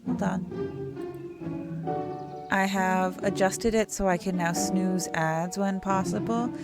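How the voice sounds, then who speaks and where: A woman's voice: mid-range voice, lively intonation, slow, loud music behind the voice. A young adult woman streaming a game, chatting in a rambling, self-correcting way and reading the text aloud. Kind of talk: casual let's-play chat and reading game text aloud.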